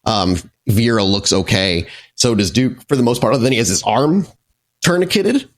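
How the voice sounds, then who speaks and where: A man narrating a tabletop vampire game as its game master, in a dramatic, halting storytelling manner. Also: mid-range voice, animated.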